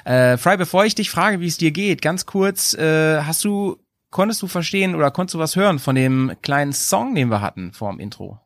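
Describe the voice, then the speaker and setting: A man hosting a casual motorbike podcast, joking and lively with his guests over a call. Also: on the high side, moderate pace, no room sound, studio quality. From Bremen, Germany. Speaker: podcast host. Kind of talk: casual chat.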